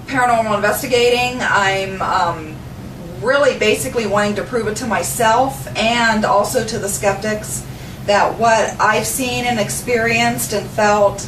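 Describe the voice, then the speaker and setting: An American woman in her forties gives an unscripted testimonial in a halting way. Her pitch is average for a woman. The sound is echoey, with noticeable background noise. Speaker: paranormal group member. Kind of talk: casual spoken testimonial to camera. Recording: echoey room, distant mic, clear noise.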